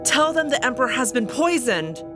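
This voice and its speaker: A woman's voice: high-pitched, big pitch swings, moderate pace, music in the background. A woman voicing a noblewoman who gives urgent, commanding orders.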